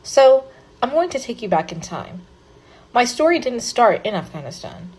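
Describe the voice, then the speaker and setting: Woman voicing a young man, first-person storybook narration, husky, earnest read. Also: a little high, very animated, normal speed, a little mellow, poor audio. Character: young man recounting his life story.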